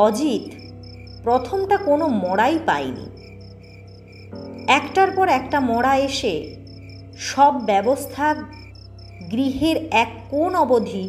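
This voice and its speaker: Casting: female audio story narrator, high voice, unhurried delivery, faint music underneath. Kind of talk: scripted first-person ghost story narration.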